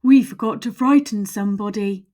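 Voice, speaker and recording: A woman's voice: mid-range voice, normal speed, a little mellow, natural voice. A woman voicing a big, good-natured male skeleton in a storybook, puzzled and ponderous. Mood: pondering. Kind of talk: storybook read-aloud.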